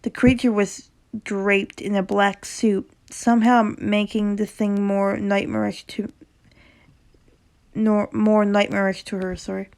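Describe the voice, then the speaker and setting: Woman with a sore, creaky throat. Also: mid-range voice, little pitch movement, unhurried, darkish tone. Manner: stumbles and corrects herself while reading; reacts casually between passages. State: sore throat, slight rasp. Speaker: horror story reader. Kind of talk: casual horror story reading.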